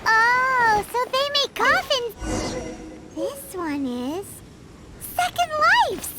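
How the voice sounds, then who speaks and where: A woman voicing a tiny, perky, childlike fairy companion in a video game, squeaky, chirpy and eager. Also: very animated, extremely slow, full character voice. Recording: loud background game music.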